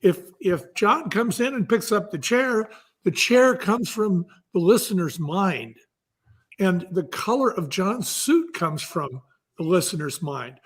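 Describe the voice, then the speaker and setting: A man's voice: high-pitched, lively intonation, slow pace, slightly rough. A man talking conversationally as an interview guest, explaining things emphatically like a storyteller and repeating key phrases. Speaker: audiobook producer and guest.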